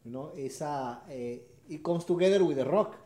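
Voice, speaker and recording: Soft-toned Venezuelan man, echoey room. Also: on the high side. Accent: Venezuelan Spanish. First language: Spanish. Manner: halting, repeats short affirmations. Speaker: harp player. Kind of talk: interview answers.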